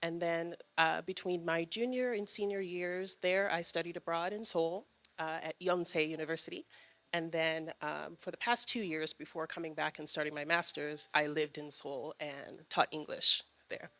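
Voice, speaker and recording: A woman's voice: low-pitched, steady tone, normal speed, a bit bright. A young woman in her twenties speaking as a panelist at an event, friendly and chatty with frequent ums. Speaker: panelist, graduate student. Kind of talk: answering on a panel. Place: event room with audience.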